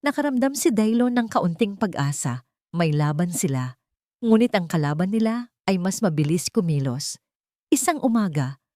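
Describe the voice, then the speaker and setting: A woman's voice: lowish voice, a little mellow, dry recording, studio quality. A woman narrating a scripted drama story in an emotive, suspenseful way.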